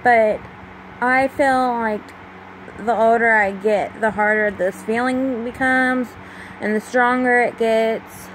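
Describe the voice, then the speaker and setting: Young woman, 25, high voice, slow halting emotional confession to a close phone, faint fan hum behind. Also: steady tone, fairly bright tone, rough recording. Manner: emotional, halting, with many pauses and fillers. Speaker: vlogger. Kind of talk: confessional personal vlog. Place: small room at home at night, fan running. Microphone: phone held close to the face.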